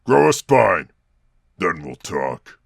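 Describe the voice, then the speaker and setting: A man voicing a huge, bad-tempered cartoon monster villain, gravelly, mocking and self-pitying in a theatrical, blustering way. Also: low-pitched, sing-song, slow pace, no echo, studio quality, heavily acted. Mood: scornful. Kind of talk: cartoon fan-dub voice acting.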